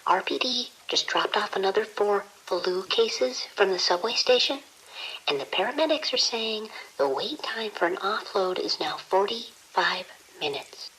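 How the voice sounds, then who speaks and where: A woman's voice: mid-range voice, lively intonation, unhurried, a bit bright, breathy edge, heavily acted, poor audio. A woman playing an overwhelmed hospital nurse in an audio drama, reporting in a clipped, urgent way. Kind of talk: scripted audio drama dialogue.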